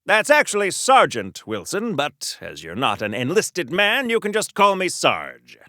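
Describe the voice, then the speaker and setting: A man's voice: fairly high pitch, wildly swooping pitch, measured pace, bright, forceful tone, no echo, clean studio sound, heavily acted. A man playing an earnest, prim 1940s patriotic soldier superhero in a game, with quaint, polite and theatrical delivery. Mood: polite, bemused. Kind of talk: golden-age comic book hero game dialogue.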